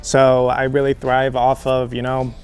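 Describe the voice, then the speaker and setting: A man giving casual, friendly answers in an interview, relaxed and chatty with frequent ums. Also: mid-range voice, unhurried, clean tone, faint music behind. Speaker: healthcare recruiter, interview guest.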